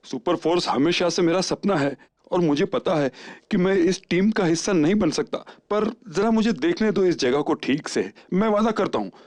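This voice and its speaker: A man playing an eager, needy superhero hopeful in a dubbed cartoon, with an excitable, pleading way of talking. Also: high voice, normal speed, dry recording. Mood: begging. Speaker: cartoon voice actor. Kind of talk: animated cartoon dub.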